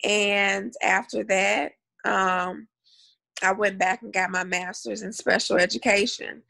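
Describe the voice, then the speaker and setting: A woman from north Louisiana, a guest on a remote call, answering interview questions in a soft, measured way with pauses and fillers. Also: medium pitch, steady tone, creaky. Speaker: guest, cannabis advocate and educator.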